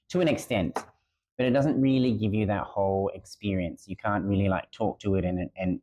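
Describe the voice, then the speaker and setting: Very deep-voiced man, relaxed. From Australia. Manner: relaxed, many ums and uhs, plain-spoken. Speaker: teacher and guest. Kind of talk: casual interview answers. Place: school room.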